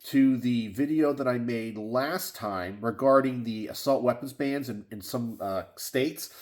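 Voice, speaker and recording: A congested, slightly sniffly middle-aged man from Texas talks casually in his home garage. He rambles and circles back through fillers and restarts at an everyday middle pitch, like a host catching his viewers up. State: stuffed up and sniffly. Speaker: gun channel host. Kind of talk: casual solo chat to camera.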